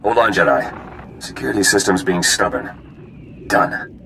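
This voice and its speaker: An actor playing a terse, tough fighter in a video game, with clipped, curt delivery. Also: male voice, sits low, lively intonation, measured pace, vocal fry, pushed and bright, a little hiss or hum, heavily acted, rough recording. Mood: terse focus. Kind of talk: scripted video game acting.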